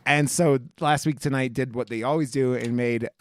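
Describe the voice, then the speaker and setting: A man's voice: medium pitch, fairly even intonation, normal speed, no echo. A man hosting a casual podcast, chatting about films in a gushing, enthusiastic way with plenty of swearing. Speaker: podcast host. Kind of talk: casual, profane film review chat.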